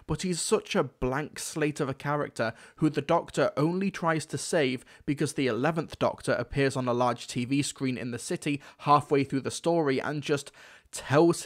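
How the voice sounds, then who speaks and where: Young adult man, mid-pitched voice, scripted review commentary, conversational and emphatic, close microphone. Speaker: video reviewer. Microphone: close desk microphone.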